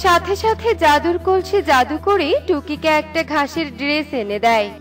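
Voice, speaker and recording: A woman's voice: sits high, normal speed, music in the background. A woman narrating a children's fairy tale in a scripted storytelling style.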